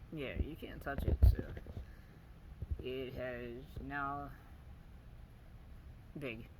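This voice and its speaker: A man with a weak, soft voice, speaking to camera in a personal video diary, halting and pausing often as he searches for words. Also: medium pitch, fairly even intonation. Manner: halting, searching for words, many pauses and fillers. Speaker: vlogger. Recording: audible background noise.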